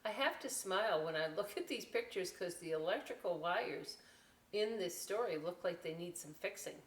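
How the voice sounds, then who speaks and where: A roomy, slightly distant recording carries an American woman's lowish voice. She leads a story time for children, reading a picture book with expression. She often wanders into folksy, chatty explanations of the words and pictures. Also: lively intonation, moderate pace. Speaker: library story time presenter. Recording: roomy echo, distant microphone.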